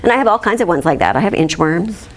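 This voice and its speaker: A woman's voice: fairly low pitch, lively intonation, fast talker. A woman in her fifties, a children's librarian, teaching a chatty demonstration lecture and laughing often. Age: 50s.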